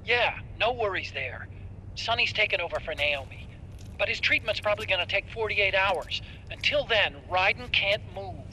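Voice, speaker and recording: Nervy nerdy tech guy in a game cutscene, high and super bright, frets a lot. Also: expressive, slight background noise, full character voice, rough recording. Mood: worried. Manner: anxious, quick, nerdy. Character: nervous, fussy young male tech expert. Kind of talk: video game cutscene acting.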